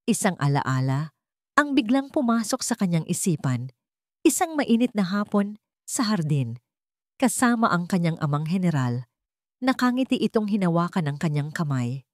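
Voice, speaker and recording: A woman's voice: fairly low pitch, sing-song, normal speed, darkish tone, dry recording. A woman storyteller reading a scripted, dramatic story in a close voice-over.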